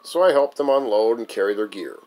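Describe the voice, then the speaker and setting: Guy with a kinda low voice reading out his own life story, bit wry. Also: animated, a little fast, warm tone, low-quality recording. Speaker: author reading own memoir. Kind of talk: memoir recitation, narrated reading.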